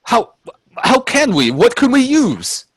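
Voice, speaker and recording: Man acting an earnest, lively-pitched Edwardian traveller. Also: slow, breathy edge, dry recording, exaggerated voice. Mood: anxious, resigned. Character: cautious, anxious male Edwardian time traveller recounting his ordeal. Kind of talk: radio play acting and narration.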